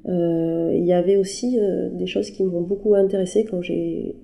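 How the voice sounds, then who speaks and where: Hesitant, dark-voiced woman. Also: lowish voice, fairly even intonation, vocal fry. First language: French. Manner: halting, many fillers and restarts. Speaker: interviewed artist. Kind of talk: hesitant conversational interview. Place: her apartment.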